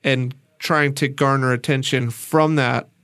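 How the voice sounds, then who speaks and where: A man hosting a podcast, narrating and discussing in a clear explanatory podcast style. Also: medium pitch, steady tone, unhurried, a bit bright, no echo, clean studio sound. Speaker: podcast host, interviewer. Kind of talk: scripted podcast narration with conversational interview.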